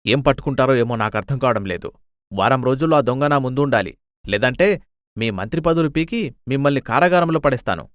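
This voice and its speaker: A man's voice: mid-range voice, animated, brisk, no echo, clean studio sound, natural voice. A man voicing a stern, exasperated king with a commanding, scornful manner in a dramatised folk tale. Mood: angry, threatening. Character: stern, exasperated king scolding his ministers.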